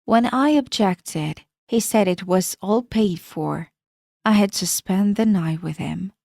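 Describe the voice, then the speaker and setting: A woman's voice: mid-range voice, slow, vocal fry, mellow, no echo, studio quality. A woman narrating a first-person life story in a plain, matter-of-fact reading.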